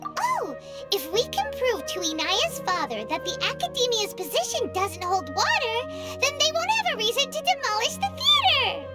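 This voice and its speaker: A woman's voice: huge pitch swings, normal speed, over loud music, heavily acted. A woman voicing a small, chirpy female fairy companion who speaks of herself in the third person, in a game cutscene. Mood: excited. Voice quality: squeaky childlike voice.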